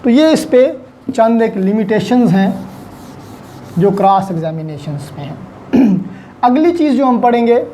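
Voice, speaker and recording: Mellow-voiced Pakistani woman giving a lesson. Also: a little high, animated, unhurried, long pauses, a little hiss or hum, rough recording. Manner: didactic, repeats key points for emphasis. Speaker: law lecturer. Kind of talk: explanatory lecture mixing in English legal terms.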